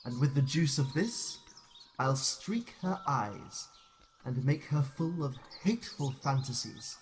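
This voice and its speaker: A man playing a commanding, scheming fairy king, speaking classical verse in a deliberate, incantatory, theatrical way. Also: a little high, slight background noise, low-quality recording. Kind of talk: classical Shakespearean verse acting.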